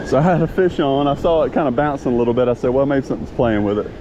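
A chatty man in his thirties talks through a fishing day on an open beach, easygoing one moment and fired up the next. His voice sits mid-range and comes through an action camera on the shore. Steady wind and crashing surf wash clearly under every word. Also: mid-range voice, racing, highs cut off. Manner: chatty, excitable when a fish hits. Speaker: fishing channel host. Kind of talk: casual outdoor vlog narration. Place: open ocean beach outdoors in wind and rain. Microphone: action camera, chest or handheld. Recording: windy beach with loud surf noise.

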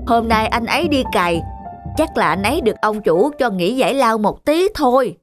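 An actor voicing a good-natured, loyal male ox in an animated fairy tale, speaking in a mild, unbothered manner. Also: mid-range voice, normal speed, soft background music, natural voice.

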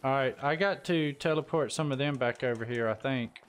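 A man chatting casually during a gaming stream, given to drawn-out exclamations. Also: medium pitch, a little hiss or hum. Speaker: stream host and gamer.

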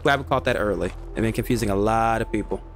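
A man's voice: fairly low pitch, quick pace, a little hiss or hum. A man streaming a video game, chatting casually and swearing freely as he plays. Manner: laid-back, swears freely. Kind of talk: casual gameplay commentary.

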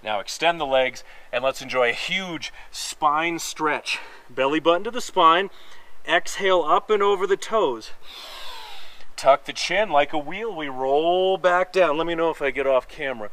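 A man's voice: on the high side, measured pace. Guy in his 40s leading pilates outside, kinda nasal, huffing a bit while counting reps, punchy and jokey. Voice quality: a little nasal. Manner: energetic coaching, counting reps, joking asides. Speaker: fitness instructor. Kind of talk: workout instruction while exercising. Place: outdoor wooden deck among pine trees.

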